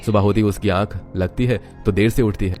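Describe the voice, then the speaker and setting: A man's voice: lowish voice, steady tone, fairly quick, a little mellow, faint music behind. A man narrating a scripted family story as a third-person storyteller.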